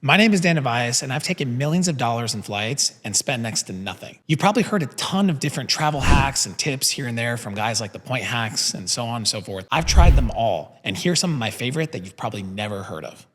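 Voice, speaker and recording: A man's voice: medium pitch, very animated, fairly quick, few pauses, big-room sound. A young man from Brazil raised in the Midwest, hosting a show and talking upbeat and conversational, tossing in "right?" and "you know". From born in Brazil, raised in the American Midwest. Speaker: podcast host. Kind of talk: casual talk.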